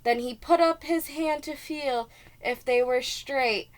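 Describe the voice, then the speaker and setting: Female preschool teacher, storybook read-aloud, slightly high register, very slow deliberate pacing, expressive emphasis. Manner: expressive, deliberate, stressing key words.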